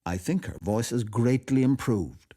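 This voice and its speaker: A man voicing a jovial, teasing storybook gentleman with a hearty, showman-like manner. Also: medium pitch, lively intonation, unhurried, slightly rough, voice pushed up. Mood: wry. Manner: hearty, mock-grand.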